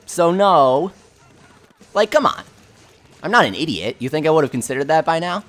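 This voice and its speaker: A man hosting a game livestream with a high voice, answering viewer questions in a chatty, offhand way full of fillers. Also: very animated, normal speed, fairly bright tone. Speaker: livestream host. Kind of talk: casual livestream chat, reading viewer questions.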